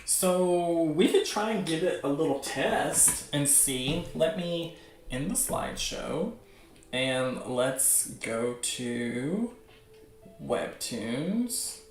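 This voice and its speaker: A man hosting a show with casual, unscripted commentary, rambling as he thinks out loud. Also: medium pitch, lively intonation, drawn-out words, soft background music. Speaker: host of a webcomic news show. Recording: roomy, echoing sound.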